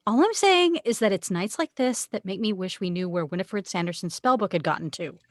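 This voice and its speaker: A woman's voice: medium pitch, animated, fairly quick, no room sound, clean studio sound, natural voice. A woman reading the part of a loving, superstitious mother who anxiously looks out for her daughter, in an amateur script read. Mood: worried, insistent.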